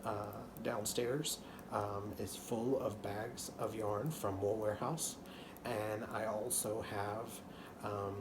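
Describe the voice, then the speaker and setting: A man in his thirties from Texas with a faint Texan accent and a slightly hoarse voice, chatting casually to camera at home while knitting, full of ums and restarts. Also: fairly low pitch, background noise. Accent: Texan, mostly masked. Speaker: knitting channel host. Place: living room couch at home.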